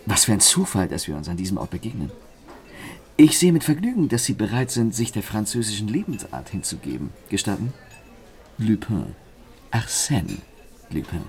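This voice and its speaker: Gentleman thief for radio drama: low-pitched, swooping delivery, suave, theatrical, amused and ironically polite. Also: big pitch swings, moderate pace. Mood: courteous, playful. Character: suave, teasing French gentleman thief, master of disguise. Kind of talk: German radio play acting.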